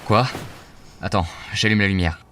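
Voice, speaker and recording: A man's voice: lowish voice, fairly even intonation, moderate pace, a bit bright, slight background noise, natural voice. A man acting in a naturalistic audio drama as someone getting ready for an air-raid shelter at night. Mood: groggy, calm. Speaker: actor in an audio fiction clip.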